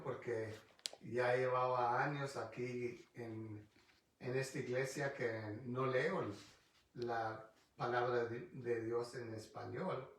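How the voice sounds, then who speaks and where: Halting pastor in an echoey room. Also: male voice, medium pitch. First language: English. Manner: halting, restarts often, searches for words. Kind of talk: Bible study teaching. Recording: distant mic in a very echoey room.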